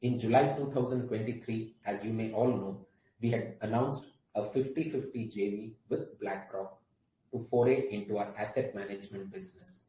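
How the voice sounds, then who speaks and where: Mellow Indian businessman in an echoey room. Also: lowish voice, normal speed. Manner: formal, scripted delivery. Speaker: company executive. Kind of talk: prepared corporate presentation on an earnings call. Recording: roomy echo, faint background noise.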